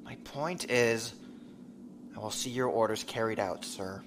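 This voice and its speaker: An actor playing a dutiful imperial space officer in a science fiction audio drama, formal and military in manner. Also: male voice, lowish voice, unhurried. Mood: guarded protest. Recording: clear background noise and ambience.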